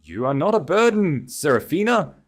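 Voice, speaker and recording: A man's voice: a little high, big pitch swings, moderate pace, voice pushed up. A man voicing a proud, grave sovereign grand duke with a declamatory, regal delivery in a romance audiobook. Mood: fervent devotion. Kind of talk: dramatic romance audiobook dialogue.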